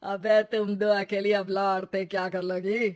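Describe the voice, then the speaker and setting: A man playing a threatening, mocking burglar in an animated story, sneering at his victims. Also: sits high, a little slow. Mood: sneering, amused. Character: menacing, mocking male burglar with a gun. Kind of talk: Hindi animated story dialogue.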